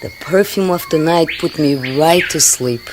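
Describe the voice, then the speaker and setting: A woman's voice: low voice, noisy background, lightly acted. An actress playing a teasing, blunt Brazilian woman with a Brazilian Portuguese accent who slips Portuguese words into her talk, in a radio play. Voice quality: lively, drawn-out delivery with Portuguese words mixed in. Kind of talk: radio drama acting. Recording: radio play with night insect sounds.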